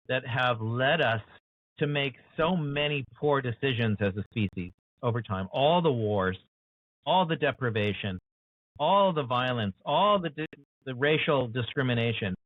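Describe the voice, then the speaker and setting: A man's voice: mid-range voice, dry recording. American man on a tinny call line. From United States. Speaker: author guest. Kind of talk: earnest interview discussion. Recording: dry, tinny phone-like call audio.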